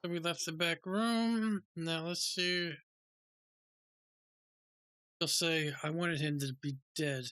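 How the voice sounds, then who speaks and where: A man's voice: fairly high pitch, steady tone, slow, pauses often, pushed and bright, no echo. A man giving casual gameplay commentary, muttering short asides to the game as he plays. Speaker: game streamer.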